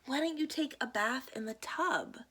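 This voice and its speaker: A woman's voice: high-pitched, moderate pace, noisy background, voice pushed up, close to an everyday voice. A woman voicing an inquisitive, incredulous child in a children's picture book read-aloud. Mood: puzzled. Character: inquisitive, incredulous child questioning a friend's odd household.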